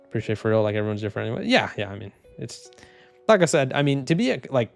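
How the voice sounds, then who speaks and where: A man streaming casually, reading chat comments in a low, even, unhurried voice with lots of fillers and restarts. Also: gravelly, soft background music. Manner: laid-back, rambling, many fillers and restarts.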